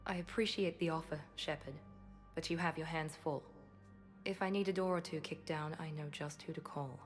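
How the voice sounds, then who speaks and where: An actress playing a composed, guarded woman operative in a video game, speaking in a measured, wry, restrained way. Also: low-pitched, fairly even intonation, soft background music, natural voice. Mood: guarded, resolute. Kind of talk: video game cinematic dialogue acting.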